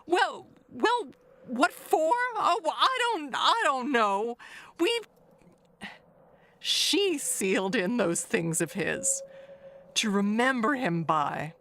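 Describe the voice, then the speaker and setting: An actress playing Agnes as a chatty, nervous, wistful middle-aged neighbor in an audio mystery, halting and rambling. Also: female voice, a little high, very animated, full character voice. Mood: flustered, prying. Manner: halting, rambling, trailing into hushed musing. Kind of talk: dramatic monologue in an audio mystery play.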